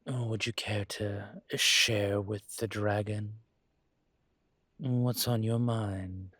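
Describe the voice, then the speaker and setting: A man playing a courtly, possessive dragon mafia boss in an intimate audio roleplay, speaking in a suave, teasing, formal way with a husky voice. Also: lowish voice, steady tone, slow pace, fairly bright tone, no room sound, heavily acted. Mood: amused, teasing. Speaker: voice actor.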